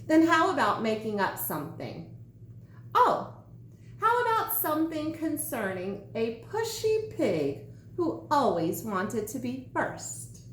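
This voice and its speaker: A woman's voice: high voice, big pitch swings, slow pace, full character voice. A woman voicing a pushy, crowing female witch in a children's storybook, demanding and grandly commanding. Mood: sly, pushy. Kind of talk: children's storybook read-aloud. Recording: echoey room, faint background noise.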